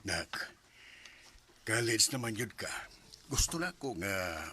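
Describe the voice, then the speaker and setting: A man's voice: fairly low pitch, big pitch swings, slow pace, sharp tone, slight background noise, heavily acted. A man with a Cebuano Filipino accent acting a protective, stern but loving middle-aged father in a radio drama. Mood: gently stern. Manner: admonishing, paternal.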